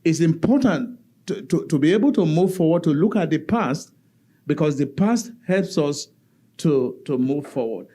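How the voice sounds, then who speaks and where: A man talks on a conference panel, stopping and restarting his sentences with many fillers. His voice sits a little high, yet it sounds soft and mellow. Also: animated, moderate pace. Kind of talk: academic panel talk. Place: conference hall.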